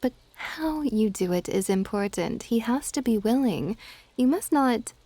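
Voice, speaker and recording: Stately older dragon goddess played by a woman, unhurried and mellow, fondly exasperated, formal and soft, for ASMR audio roleplay. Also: on the high side, animated, dry recording. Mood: conceding. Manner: soft-spoken, breathy asides, formal wording.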